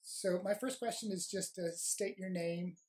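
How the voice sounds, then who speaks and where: An interviewer speaks at a middle pitch and asks short, open questions. Between answers the voice gives quiet murmurs of agreement. The pace is calm, as in an oral history recording. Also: unhurried. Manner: brief prompts and soft backchannels.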